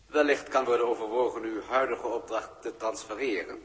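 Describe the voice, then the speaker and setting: Reverberant radio role: respectful alien subordinate, male actor, medium-pitched, stiffly formal and ceremonious. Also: fairly even intonation, brisk, a bit bright, natural voice. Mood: formal, confidential. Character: deferential, measured alien subordinate of a senior proctor. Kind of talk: 1960s Dutch radio play acting. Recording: long hall-like reverberation.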